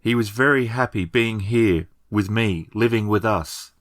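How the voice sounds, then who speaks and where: Man playing a low-voiced, grieving village woman. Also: a little slow, a bit bright, no echo, clean studio sound. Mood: quietly defiant. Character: grieving, stubborn young village woman, polite but firm.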